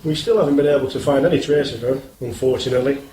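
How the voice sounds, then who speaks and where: A man's voice: medium pitch, fast talker, a crowd in the background, close to an everyday voice. A British man playing an earnest, guarded police inspector in a radio drama, speaking formally and politely. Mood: grave, guarded. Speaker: actor in amateur radio play. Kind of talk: scripted radio drama dialogue. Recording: roomy, hissy amateur radio play recording.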